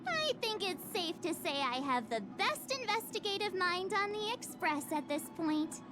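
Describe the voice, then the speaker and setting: A woman's voice: very high-pitched, big pitch swings, normal speed, music in the background. A woman voicing a perky, boastful, bubbly young girl adventurer in a video game. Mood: excited, confident. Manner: bubbly, exclamatory, eager.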